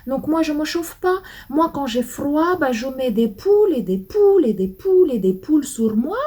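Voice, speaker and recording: Femme imitant une naïve à fort accent portugais. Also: female voice, expressive, normal speed, warm tone. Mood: faussement naïve. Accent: put-on Portuguese. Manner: faux-naive, high and sing-song. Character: naive woman with thick Portuguese accent playing dumb.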